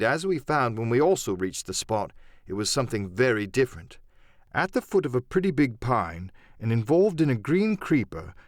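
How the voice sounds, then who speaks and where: A male audiobook narrator delivers literary storytelling with a very animated, varied melody. His pitch is medium and the recording is close and dry. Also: no room sound.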